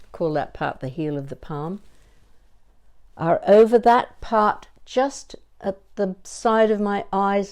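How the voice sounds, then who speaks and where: An older woman giving a conversational demonstration as a voice coach, deliberate and emphatic, pausing between short phrases. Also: medium pitch, dark tone.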